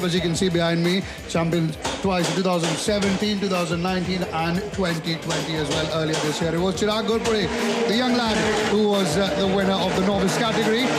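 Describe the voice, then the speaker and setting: A man hosting a live motorsport webcast, presenting segments and interviewing team owners in a brisk, upbeat way. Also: high-pitched. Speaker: racing webcast host and pit reporter. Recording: music playing underneath.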